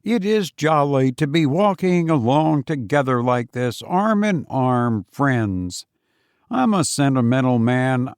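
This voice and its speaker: A man's voice: medium pitch, animated, takes it slowly, clear, resonant tone, no room sound, clean studio sound. A man voicing a smug, patronizing, chatty English writer with a gushing, falsely modest manner in an audiobook story. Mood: sentimental, chummy. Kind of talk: acted dialogue in a literary audiobook.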